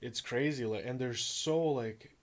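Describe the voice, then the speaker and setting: A man's voice: mid-range voice, measured pace. Laid-back man, casual swearing. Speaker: podcast co-host. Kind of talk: casual conversation.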